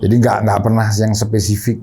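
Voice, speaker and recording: A man's voice: on the low side, little pitch movement, moderate pace, dark tone, husky. Polite middle-aged man, hesitant storyteller. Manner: polite, hesitant, repeats himself. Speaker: canteen manager guest. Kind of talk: casual interview chat. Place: studio.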